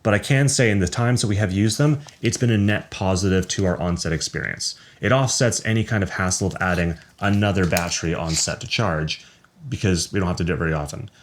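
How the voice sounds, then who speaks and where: A man's voice: sits low, a little fast, a little hiss or hum. A man who works as a sound recordist, narrating a scripted product review.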